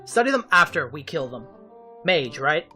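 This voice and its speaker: Audio drama role: sharp, level-headed young man tactician, actor-played, orderly speech, light music behind. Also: on the high side, sing-song, heavily acted. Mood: composed, decisive. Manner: brisk, practical, orderly. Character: quick-witted, composed young male tactician. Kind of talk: fan audio drama acting.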